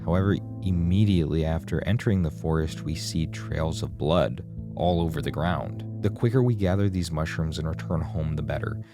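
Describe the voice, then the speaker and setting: A man's voice: a bass voice, moderate pace. A man reading scripted narration of a horror game in a dramatic, ominous storyteller manner. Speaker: video essay narrator. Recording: music behind the voice.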